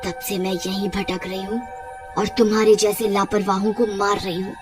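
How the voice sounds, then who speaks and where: A woman voicing a vengeful, theatrical ghost witch who threatens and mourns in an animated horror story. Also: medium pitch, normal speed, music in the background, natural voice. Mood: bitter, grieving. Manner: menacing, theatrical.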